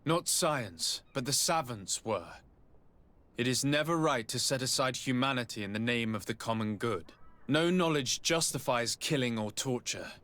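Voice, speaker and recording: A man voicing an earnest, principled noble envoy in a video game, speaking in a measured, formal, serious way. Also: medium pitch, expressive, fairly bright tone, lightly acted. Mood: grave, righteous. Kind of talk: dramatic video game acting.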